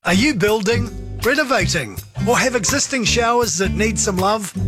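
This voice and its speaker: A man delivering an upbeat radio sales pitch. His voice is high for a man, and music runs clearly underneath. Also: expressive, normal speed. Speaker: ad voice-over. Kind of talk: scripted commercial read.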